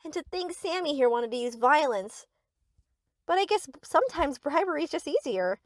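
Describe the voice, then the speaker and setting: A woman's voice: high-pitched, very animated, moderate pace, no echo, clean studio sound. A woman playing a sweet, eager, obsessive young yandere best friend in an anime-style audio roleplay, gushing and theatrical. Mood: proudly doting.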